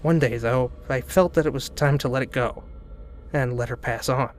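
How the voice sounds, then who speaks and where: A man's voice: mid-range voice, normal speed. A man giving a dramatic narrated reading of a spooky first-person story. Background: background music.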